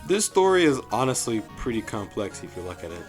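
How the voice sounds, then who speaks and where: A man giving a casual, jokey review commentary as the host, with animated delivery and frequent asides. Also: lowish voice, brisk. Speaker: host of a fan story review show. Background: music.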